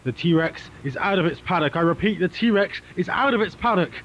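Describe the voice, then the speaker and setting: A man's voice: high-pitched, lively intonation, a little fast, a bit bright. A man acting a determined dinosaur park employee in a found-footage horror video, talking tersely and urgently. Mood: urgent, resolute. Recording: dull lo-fi found-footage audio, faint noise.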